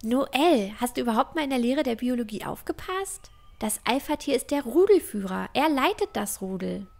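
A woman playing a clever, bossy, curious young village girl in a fantasy audio drama, expressive and a little schoolteacherly. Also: on the high side, moderate pace, vocal fry. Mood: knowing.

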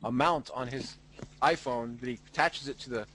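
A man's voice: mid-range voice, moderate pace, a bit bright, slight background noise. A man hosting a casual group video call, rambling and joking with many restarts. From United States. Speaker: host.

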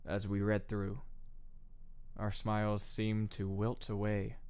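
A man's voice: lowish voice, completely flat delivery, slow, darkish tone, smooth. A man reading a scripted first-person story as an audiobook narrator.

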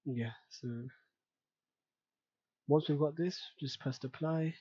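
A man talks through a tutorial in a mellow, slightly husky voice, casually thinking aloud. The recording is dry and somewhat dull, as from a close mic. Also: fairly high pitch, steady tone, takes it slowly, no echo. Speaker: tutorial presenter. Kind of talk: unscripted screen-recorded software tutorial narration.

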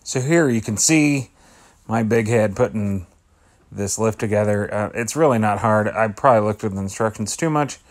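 Middle aged guy, lowish voice, laid-back voiceover for his vlog. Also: normal speed. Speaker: vlogger. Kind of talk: casual voice-over commentary.

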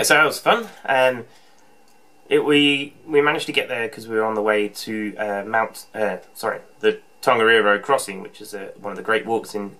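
A man's voice: mid-range voice, pushed and bright. A man in his thirties chatting casually to camera in a small room, rambling with plenty of ums and restarts. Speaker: homebrew vlogger. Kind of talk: casual vlog chat. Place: small indoor room with shelves. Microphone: camera on desk, close framing.

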